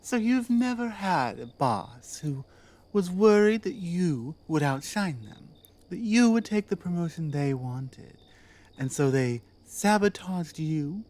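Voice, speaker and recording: A woman playing a commanding, distrustful orc queen in an ASMR roleplay, sardonic and teasing, speaking low and dropping into murmurs. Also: expressive, slow pace, a little mellow. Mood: scornful, wry. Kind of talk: ASMR roleplay, addressing the listener.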